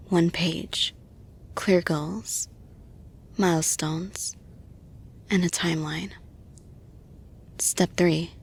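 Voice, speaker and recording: A woman playing a caring, composed female boss in an intimate audio roleplay, speaking in a soft, breathy, husky, reassuring voice with slow, deliberate phrasing. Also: fairly low pitch, full character voice. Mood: calm, businesslike.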